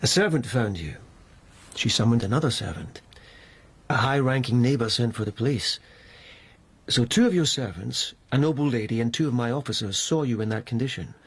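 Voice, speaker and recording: Dogged, husky police lieutenant. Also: male voice, medium pitch, animated. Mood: dogged questioning. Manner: deliberate, insistent. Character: dogged, formal police lieutenant interrogating a suspect. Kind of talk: radio drama acting.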